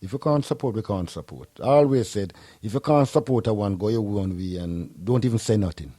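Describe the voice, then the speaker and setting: A man's voice: on the low side, brisk, warm tone, dry recording, studio quality. A man from Jamaica with a Jamaican accent, hosting a casual radio talk show in a loose, rambling way. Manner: laid-back, rambling, peppers speech with "you know". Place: radio studio.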